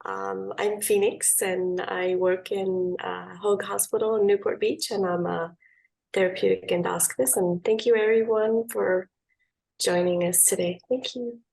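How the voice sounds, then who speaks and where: A woman's voice: medium pitch, steady tone, a little slow, vocal fry, a little mellow. A woman panelist and course co-founder giving a brief, hesitant introduction of herself. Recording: distant microphone.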